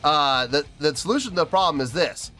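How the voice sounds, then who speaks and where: A man's voice: a little high, lively intonation, a little fast, bright, forceful tone. A man hosting music review videos, talking casually and emphatically to his viewers, often repeating phrases for stress. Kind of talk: casual spoken review to camera, addressing viewers.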